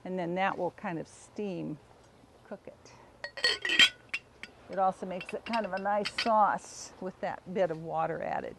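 A middle-aged woman giving a casual, unhurried cooking demonstration, explaining informally as she works outdoors. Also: fairly low pitch, vocal fry, noisy background. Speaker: home cook demonstrating a recipe. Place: outdoors in a backyard garden.

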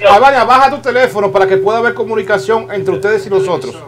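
High-voiced Dominican male TV host. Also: heavy background noise. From Dominican Republic. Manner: brisk, emphatic, directs the crew on air. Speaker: TV talk show host taking calls. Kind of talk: call-in TV talk. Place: small TV studio set.

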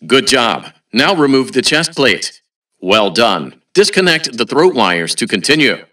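Bright, blandly cheerful robot announcer. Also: male voice, mid-range voice, very animated, measured pace, recorded from a distance. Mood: instructive, upbeat. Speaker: automated announcer. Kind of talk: scripted video game announcements.

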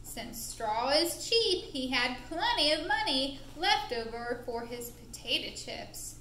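A woman narrating a puppet show for young children in an expressive, deliberate, playful way, recorded in an indoor room. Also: high-pitched. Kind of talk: animated storytelling for young children. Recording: roomy echo with faint background noise.

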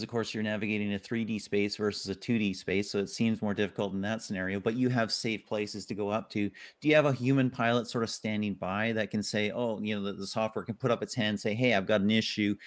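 Man, middle-aged, from Canada, host, low voice, video call, enthusiastic questions. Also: quick pace, few pauses. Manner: enthusiastic questioning, summarizes before asking. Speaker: podcast host. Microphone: computer mic on a video call.

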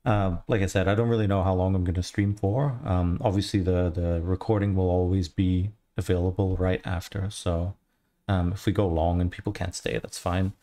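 A man's voice: deep voice, moderate pace. A man in his thirties with a mixed Australian, Irish and American accent, chatting casually on a livestream and answering viewer questions through a headset mic from a home studio. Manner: relaxed, rambling, answers chat questions. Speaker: livestreamer, 3D artist.

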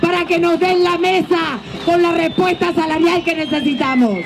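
A middle-aged woman with an Argentine accent giving an impassioned, forceful rally speech outdoors into a handheld microphone, as a union leader. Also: very high voice, steady tone, normal speed. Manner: impassioned, forceful shouted oratory. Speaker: teachers' union leader and legislator. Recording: outdoor microphone with faint noise.

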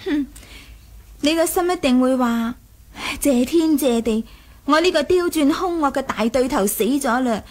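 A very high-pitched young princess in a radio play. She is proud and imperious, yet coquettish and teasing, with a wistful edge to her probing manner. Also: expressive, a little slow. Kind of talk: Cantonese 1980s radio drama acting.

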